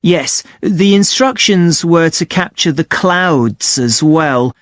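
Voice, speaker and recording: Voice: medium pitch, lively intonation, slow pace, dry recording, studio quality. An actor playing a polite young amateur photographer, explaining things a little hesitantly in a scripted listening-test dialogue. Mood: reflective.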